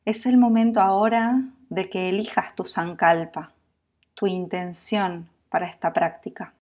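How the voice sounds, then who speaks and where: A woman with a Latin American Spanish accent guiding a sleep meditation in a calm, soothing, unhurried manner, leaving long pauses. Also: mid-range voice. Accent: Latin American Spanish with voseo. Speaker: yoga nidra meditation guide.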